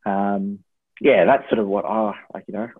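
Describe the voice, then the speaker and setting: An Australian man in his twenties speaks in a husky, fairly low voice. His delivery is casual and a bit rambling, like a guest in a relaxed interview. He comes through a narrow, phone-like connection. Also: moderate pace. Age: 20s. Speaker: podcast guest, university student. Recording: thin phone-like line with room echo.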